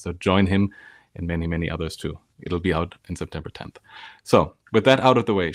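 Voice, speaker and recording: A man with a fairly low voice hosts a live show over a video call, upbeat and energetic as he keeps things moving. His voice sounds close and dry, with no room around it. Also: dry recording. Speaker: host. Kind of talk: live show hosting, casual.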